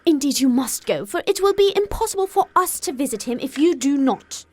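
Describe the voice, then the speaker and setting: A woman voicing an excitable, nagging middle-aged wife with a British accent in an audiobook, gushing and fretful. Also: sits high, brisk, voice pushed up. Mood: pleading. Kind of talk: audiobook character acting.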